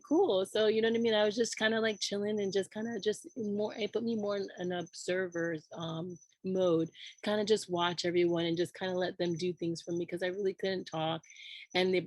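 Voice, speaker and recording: Hoarse woman, American accent, mid-pitched, rambling chat, dry sound. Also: a little mellow, no room sound. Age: adult with children. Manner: rambling, many fillers and restarts. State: hoarse, losing her voice. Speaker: podcast co-host. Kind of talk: casual chat.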